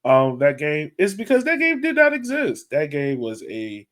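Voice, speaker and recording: A man's voice: fairly high pitch, wildly swooping pitch, normal speed, fairly bright tone. Casual man co-hosting a sports podcast. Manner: informal, colloquial speech. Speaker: podcast co-host.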